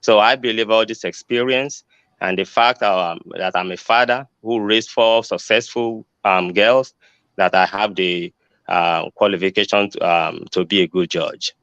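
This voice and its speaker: Older man, hesitant, low voice. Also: fairly even intonation, a little slow, fairly bright tone, smooth, dry recording. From Nigeria. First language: Yoruba. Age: 60s. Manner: hesitant, many ums and restarts. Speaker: judicial candidate. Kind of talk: answering forum questions. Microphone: video call. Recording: dull lo-fi video call audio.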